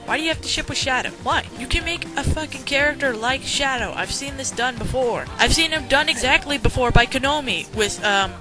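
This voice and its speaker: A woman's voice: high-pitched, a little fast, few pauses, music in the background. A woman giving blunt, sarcastic and profane commentary while a journal is read aloud. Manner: blunt, sarcastic, swears freely.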